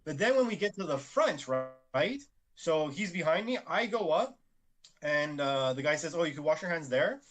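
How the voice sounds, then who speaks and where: A man's voice: a little high, big pitch swings, normal speed, fairly bright tone, soft background music. A man co-hosting a podcast, telling a rambling everyday story in casual chat and restarting his sentences often. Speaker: podcast co-host.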